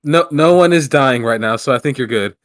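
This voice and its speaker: A man co-hosting a live stream in a relaxed, loud, easygoing way. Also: mid-range voice, a little fast. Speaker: stream co-host. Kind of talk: casual live stream chat.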